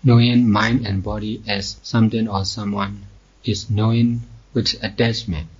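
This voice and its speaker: A man teaching guided meditation slowly, in measured short phrases with long pauses. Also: lowish voice, flat delivery, husky. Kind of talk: slow guided meditation instruction. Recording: dull, muffled lo-fi recording.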